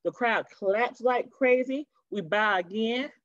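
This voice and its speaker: A woman's voice: a little high, takes it slowly, dry recording. A woman reading a storybook aloud to children like a teacher, expressively, with chatty asides, on dull webcam audio. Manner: animated, chatty asides to young listeners.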